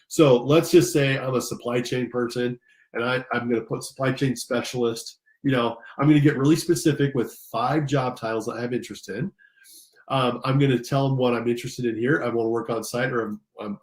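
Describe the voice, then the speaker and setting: A man's voice: mid-range voice, a little mellow. Congested American man in an echoey room. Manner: casual, enthusiastic, addresses listeners directly. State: slightly congested from allergies. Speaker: career coach and instructor. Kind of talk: informal screen-share tutorial. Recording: roomy, echoing sound.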